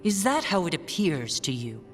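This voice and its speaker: An actress voicing a stern, proud middle-aged scholar mother in a video game, speaking formally and with measured restraint. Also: fairly low pitch, big pitch swings, faint music behind. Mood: cool, stern. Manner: formal, measured, clipped diction without warmth. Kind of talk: video game dialogue acting.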